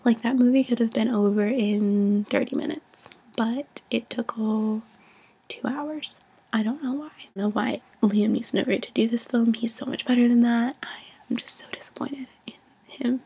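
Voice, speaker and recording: A woman's voice: fairly high pitch, fairly even intonation, moderate pace, warm tone, breathy edge. A young woman with a whiny voice, getting over a cold, rambling through a casual film review into a webcam in her bedroom. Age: young adult. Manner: chatty, rambling, lots of "like" and restarts. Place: small bedroom, indoors. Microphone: webcam at arm's length.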